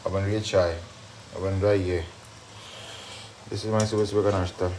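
A man with a fairly low voice vlogging on the road, talking straight to his viewers in a loose, playful way. He drops English words into easy, joking chatter. A faint hiss sits under the recording. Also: flat delivery, moderate pace, darkish tone. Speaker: travel vlogger. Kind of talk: casual vlog chatter to viewers.

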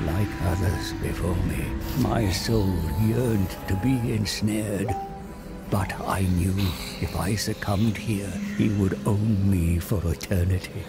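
An actor playing an old, cunning wandering seer, telling his tale gravely and dramatically in a game cinematic. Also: fairly low pitch. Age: older.

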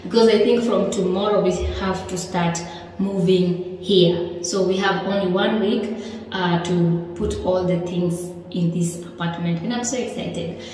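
A woman in her thirties giving a casual, excited tour of her new apartment, filmed on a phone. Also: fairly low pitch, a little slow. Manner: excited, simple repeated phrases. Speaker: vlogger. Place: empty apartment with bare rooms. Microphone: phone camera. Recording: echoey empty rooms.

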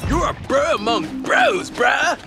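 A laid-back surfer lawman from a video game, cocky and upbeat. He drawls his slang with big swooping pitch, buried in loud game music. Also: big pitch swings, measured pace, creaky, full character voice. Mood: cocky, hyped. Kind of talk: video game character voice lines.

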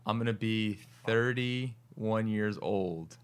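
Laid-back man in his thirties, lowish voice. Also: slow pace, clean tone. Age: 30s. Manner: easygoing, laughing, trailing off with fillers. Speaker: podcast host, restaurant owner. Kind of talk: casual chat. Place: small studio office with monitors. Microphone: large studio mic on boom arm.

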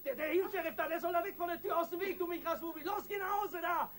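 An actor playing an aggressive, cursing, cornered criminal in a German radio crime drama, agitated and coarse. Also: male voice, extremely high pitch, fast talker, fairly bright tone. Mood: furious, defiant.

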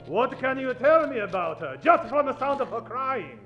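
A man's voice: very high-pitched, big pitch swings, normal speed, a bit bright, highs cut off, soft background music, heavily acted. A man playing a courtly, pompous detective general in old-time radio comedy, speaking formal, stilted broken English. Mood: pompous, inquisitive. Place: theatre stage. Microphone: vintage-style stand microphone.

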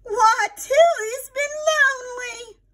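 A woman voicing an excitable, doting older aunt in a children's storybook, exclaiming in a drawn-out way. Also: heavily acted. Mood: delighted. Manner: exclamatory, drawn-out, high cooing delivery. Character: excitable, doting older aunt fretting over her pet bird.

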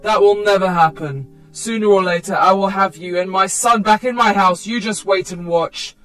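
A woman voicing a threatening, controlling ex-husband in a story, forceful in manner. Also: mid-range voice, moderate pace, soft background music, natural voice. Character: menacing abusive controlling ex-husband.

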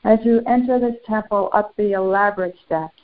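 A woman leading a guided spiritual meditation in solemn, formal, deliberate phrases with long pauses. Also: medium pitch. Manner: solemn, formal, ceremonial wording. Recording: muffled, phone-like narrow band.

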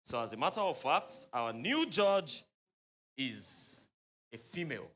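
A man playing a lively, bright-voiced lawyer. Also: medium pitch. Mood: calm. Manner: halting, fillers, then loud yelling. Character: fussy lawyer, cares about his fee. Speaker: defence lawyer. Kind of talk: comedy skit acting.

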